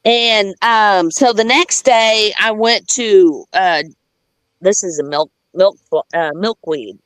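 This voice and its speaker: A woman's voice: medium pitch, slow, fairly bright tone, clean tone, no echo. A woman chatting casually and telling a personal story, candid and sweary, wandering into side details and quoting people as she goes. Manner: candid, profane, rambling with digressions; acts out quoted dialogue.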